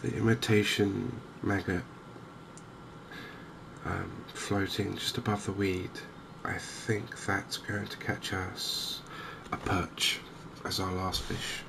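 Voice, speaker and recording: A man giving softly spoken ASMR commentary on a game, hushed, hesitant and unhurried, close to the microphone. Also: low voice, flat delivery. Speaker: gaming video host. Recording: clear background noise.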